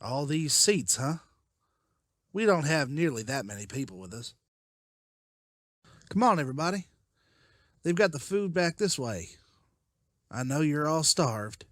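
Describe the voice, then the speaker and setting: Male survivor leader, played by a man: higher-pitched, very expressive, dry sound, earnest and weary. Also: measured pace, long pauses, no echo. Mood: encouraging. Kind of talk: dramatized comic dialogue.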